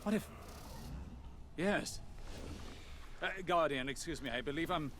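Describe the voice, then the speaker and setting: An actor playing a thoughtful, courteous scholar in a video game, speaking in a deliberate, formal and pensive way. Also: male voice, on the high side, noisy background, close to an everyday voice. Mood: pensive, intrigued. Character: thoughtful, courteous male scholar working out mysteries. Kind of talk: dramatic video game voice acting.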